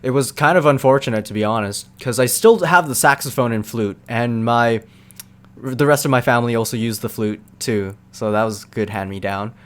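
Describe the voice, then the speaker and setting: A young man answering viewers' questions in a relaxed, rambling live-stream chat, full of fillers and quick laughs. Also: mid-range voice.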